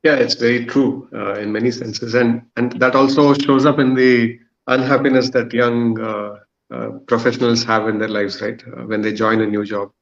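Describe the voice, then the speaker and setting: A man's voice: mid-range voice, level tone, moderate pace. A man in his thirties speaks on dull, lo-fi audio as a podcast guest. He explains in a thoughtful, structured way, often hesitating as he builds his answer. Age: 30s. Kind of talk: thoughtful panel discussion answer.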